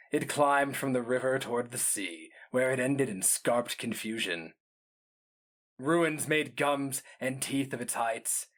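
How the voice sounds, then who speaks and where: A man giving a dramatic literary reading of fantasy, solemn and measured, with deliberate pauses and theatrical weight. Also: medium pitch, fairly bright tone. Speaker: story narrator.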